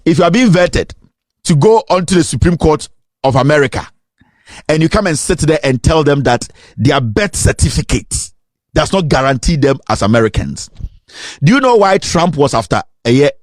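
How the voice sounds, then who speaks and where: A Ghanaian man delivers a fiery political commentary in a high voice that swings widely in pitch. He is indignant and emphatic, hammering rhetorical questions, and the sound is dry and close. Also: sing-song, moderate pace, no echo. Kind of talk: impassioned political monologue.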